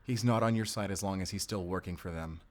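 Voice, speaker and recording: A man playing a caring, playful but protective boyfriend in an audio roleplay, speaking in a soft, casual, hesitant way. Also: sits low, fast, close to an everyday voice. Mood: worried, cautious. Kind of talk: audio roleplay acting addressed to the listener.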